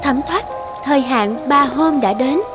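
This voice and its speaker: A woman tells a scripted folktale as its narrator. Her voice is high, and music plays behind it. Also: measured pace. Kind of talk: scripted fairy-tale narration.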